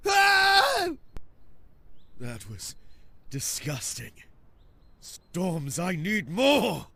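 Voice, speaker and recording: A man playing an anguished, defiant new vampire in a game, with a halting, melodramatic delivery. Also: high-pitched, wildly swooping pitch, creaky, bright, forceful tone, dry recording, exaggerated voice. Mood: ravenous. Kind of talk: dramatic RPG voice acting.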